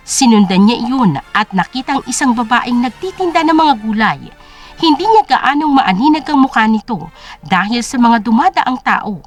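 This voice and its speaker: A female narrator with a high-pitched voice and very animated intonation. She tells a scripted dramatic story as an audio drama storyteller, with faint music playing behind her.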